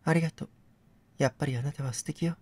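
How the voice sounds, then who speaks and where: Man voicing a soft, coy captive woman. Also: fairly high pitch, quick pace, lightly acted. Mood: wistful, coy. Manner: feminine, composed, coy phrasing. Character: captive actress, soft, wistful, teasing woman. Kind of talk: dramatic game dialogue reading.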